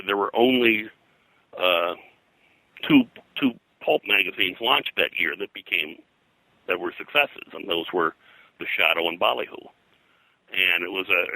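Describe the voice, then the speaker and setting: A man with a low, forceful voice talks as a guest historian in an informal interview. He piles up details haltingly and wanders into asides. The tone is very bright and the sound is dry and close. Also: vocal fry, no room sound, poor audio. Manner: halting, digressive, detail-heavy explaining. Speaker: pop culture historian, guest.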